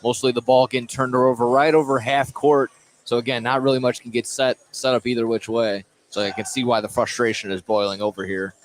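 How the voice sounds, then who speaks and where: A man doing live play-by-play of a high school basketball game, often repeating and correcting himself as he goes. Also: flat delivery. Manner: halting, repeats and corrects himself mid-call. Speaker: play-by-play commentator. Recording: noisy live courtside radio broadcast.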